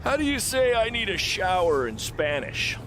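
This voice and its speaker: A glib, lively wrestling promoter. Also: male voice, sits high, moderate pace, noisy background. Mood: dry, flippant. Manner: glib, upbeat salesman-like delivery. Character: fast-talking, self-assured small-time male wrestling promoter, cheerfully flippant. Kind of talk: video game cutscene acting.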